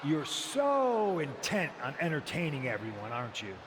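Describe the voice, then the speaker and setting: A man's voice: on the high side, wildly swooping pitch, normal speed. A man playing a cocky, menacing rebel wrestler giving a dry, taunting promo in a video game. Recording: very noisy arena ambience.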